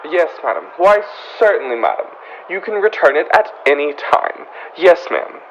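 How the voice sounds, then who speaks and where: A man's voice: a little high, sing-song, moderate pace, a little hiss or hum, low-quality recording. A man playing a fussy, haughty department store floorwalker, prim and pompous, in an old-time radio comedy. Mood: harried, disdainful.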